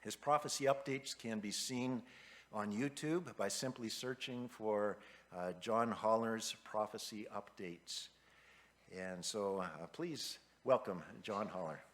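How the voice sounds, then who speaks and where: A man in a church introducing the guest speaker in a measured way, with frequent hesitations. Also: fairly low pitch. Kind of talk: read introduction. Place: church sanctuary.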